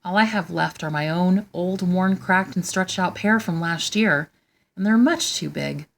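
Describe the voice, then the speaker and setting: A woman's voice: lowish voice, a little slow, vocal fry. A woman voicing a poor, weary father in a storybook, with a regretful, sighing manner. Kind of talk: storybook character voice.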